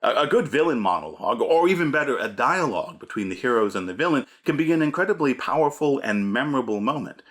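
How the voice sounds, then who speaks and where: A man's voice: medium pitch, very animated, fast talker, hardly any pauses, fairly bright tone. A man hosting a scripted tabletop gamemastering lesson, speaking in an emphatic, theatrical, punchy way. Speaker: host, game master giving advice.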